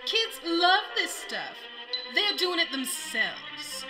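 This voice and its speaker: A woman's voice: extremely high pitch, big pitch swings, slow, heavily acted. A woman playing a sly, taunting female villain and gang leader in an old-time radio serial parody, with theatrical delivery. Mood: gloating. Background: music.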